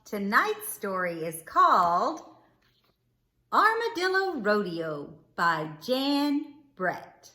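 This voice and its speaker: A woman reading a children's story aloud with theatrical, deliberate phrasing. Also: mid-range voice, big pitch swings, bright, forceful tone. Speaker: storybook reader. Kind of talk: expressive children's read-aloud narration. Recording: roomy, echoing indoor sound.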